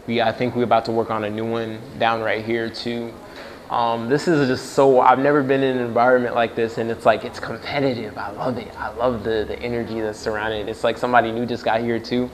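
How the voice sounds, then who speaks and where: A man's voice: medium pitch, fairly quick, slight background noise, low-quality recording. Laid-back young man vlogging. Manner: laid-back slangy talk, gets fired up when excited. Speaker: music producer vlogging. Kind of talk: casual vlog talk to camera. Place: music production workshop house.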